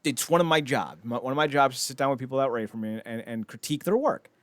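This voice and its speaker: A man's voice: medium pitch, lively intonation, fast, no room sound. A man chatting casually as a podcast host, rambling, opinionated and freely profane. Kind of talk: casual podcast chat about films and entertainment news.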